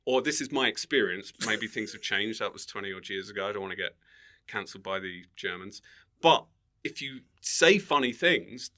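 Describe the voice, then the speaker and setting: Animated middle-aged British man, bright voice. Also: fairly low pitch, moderate pace. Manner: joking, acts out quoted remarks. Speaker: comedian guest. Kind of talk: casual anecdotal chat.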